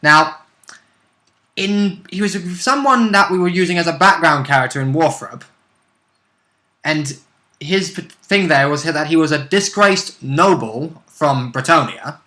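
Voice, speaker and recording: A man's voice: a little high, a little slow, bright, forceful tone. A man co-hosting a tabletop role-play show, explaining in casual, rambling chat and stumbling over his words.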